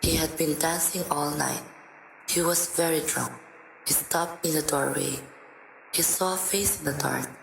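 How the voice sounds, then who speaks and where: A woman's voice: sits low, a little slow, creaky, slight background noise. A woman reading dramatic literary narration as the narrator of a radio drama. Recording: echoey, music behind voice.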